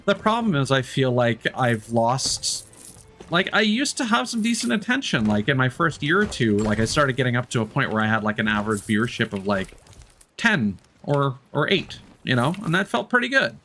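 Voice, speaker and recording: A man chatting casually as he livestreams a game, candid and reflective, in a halting, rambling way that often doubles back to correct itself. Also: medium pitch, very animated, fairly bright tone, a little hiss or hum. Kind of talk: casual streamer chat while gaming.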